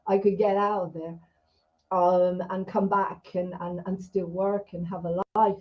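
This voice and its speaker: A woman's voice: lowish voice, flat delivery, unhurried. A woman raised in Israel hosting an interview over a video call, talking in an emphatic, rambling, heartfelt way. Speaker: host. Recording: dull lo-fi video call audio.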